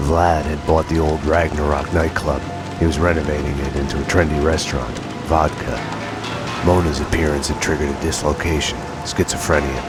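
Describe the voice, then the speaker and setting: An actor playing a haunted, world-weary police detective, narrating a noir game in a brooding deadpan. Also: male voice, extremely low pitch, moderate pace. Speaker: narrator and lead detective character. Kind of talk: hard-boiled noir scripted narration. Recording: dramatic music under the voice.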